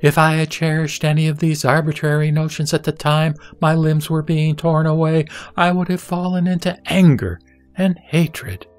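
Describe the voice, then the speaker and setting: A man playing a serene, authoritative spiritual teacher, measured and didactic, in a scripted sutra audio drama. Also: on the high side, flat delivery, faint music behind, lightly acted. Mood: solemn teaching. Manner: solemn, measured, didactic delivery. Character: the Buddha, serene, authoritative male spiritual teacher instructing a disciple.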